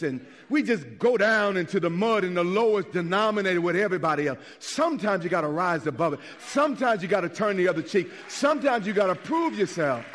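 Pastor, male, middle-aged; animated sermon delivery, slightly high voice with a wide swinging pitch. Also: a little hiss or hum. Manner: animated preaching, swings from loud to soft.

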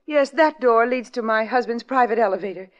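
A well-to-do wife played by a woman in a 1940s radio drama. Her voice is high, and she sounds guarded and easily flustered. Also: a little fast, highs cut off, natural voice.

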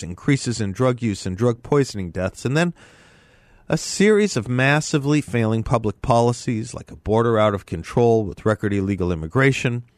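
Measured radio host with a slight head cold. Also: male voice, mid-range voice, no room sound. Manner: measured, deliberate, lecturing. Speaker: radio talk show host. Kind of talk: opinion monologue on talk radio. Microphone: studio microphone.

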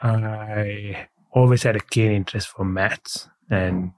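A man from Sri Lanka telling his career story in a relaxed, matter-of-fact way as an interview guest. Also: fairly low pitch, slow pace. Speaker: guest, head of data. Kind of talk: casual interview chat.

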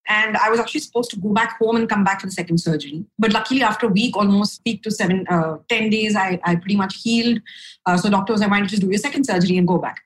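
A young adult woman from India, a podcast guest speaking frankly and upbeat, with a low voice for a woman and casual fillers. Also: rapid-fire, poor audio. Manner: frank, upbeat, lots of fillers and "right?" tags. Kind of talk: candid conversational storytelling.

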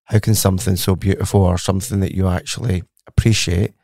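A man's voice: low-pitched, level tone, quick pace, vocal fry, a little mellow, no room sound, clean studio sound. A middle-aged man with a Scottish accent, a scientist guest in a podcast interview, telling his story eagerly and conversationally. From Scotland.

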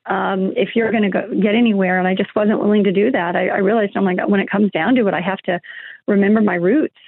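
A woman's voice: mid-range voice, steady tone, quick pace, no echo. A middle-aged woman talking as an interview guest, rambling with many fillers and restarts. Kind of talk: conversational interview. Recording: tinny phone line.

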